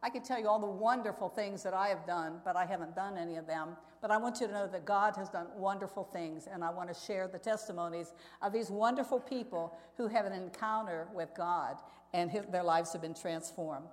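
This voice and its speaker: A woman's voice: lowish voice, a little fast, few pauses. Woman preaching in an echoey hall. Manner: earnest, conversational, addresses listeners with rhetorical questions. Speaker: missionary speaker. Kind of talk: church testimony talk, storytelling to congregation. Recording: echoey hall with long reverb.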